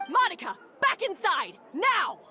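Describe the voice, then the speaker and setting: A woman's voice: extremely high pitch, sing-song, a little slow, bright, forceful tone, soft background music, a faint crowd, exaggerated voice. A woman playing a haughty, domineering female head chef in a video game, curt and scornful. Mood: angry, commanding. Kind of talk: dramatic video game voice acting.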